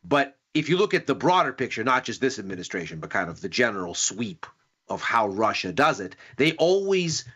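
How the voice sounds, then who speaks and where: A man's voice: medium pitch, sing-song, brisk, pushed and bright, slightly rough, highs cut off. A man on a casual talk panel, explaining a point at length in a thoughtful way. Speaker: panel guest.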